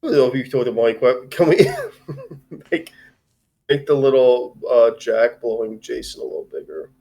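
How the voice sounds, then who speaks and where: A man's voice: medium pitch, huge pitch swings, moderate pace, warm tone. A man co-hosting a live stream in casual, slangy chat.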